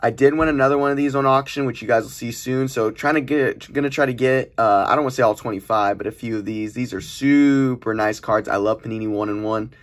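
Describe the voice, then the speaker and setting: A man's voice: mid-range voice, fairly even intonation, brisk, hardly any pauses. A young man giving chatty, informal commentary as he hosts an unboxing video in a small room. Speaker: host of a trading card unboxing channel. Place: small indoor room at a desk. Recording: noisy room, crinkling packaging handling sounds. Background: clicks, crumpling and crinkling of packages.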